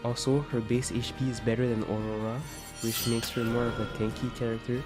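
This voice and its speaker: A man's voice: mid-range voice, little pitch movement, fairly quick, over music. A man giving explanatory let's play commentary as a voice-over on a video game. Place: voice-over recorded over game music.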